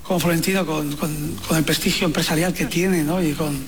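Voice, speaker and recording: A man making press remarks, halting and repeating words. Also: on the high side, steady tone, a crowd in the background. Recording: clip from far with background noise.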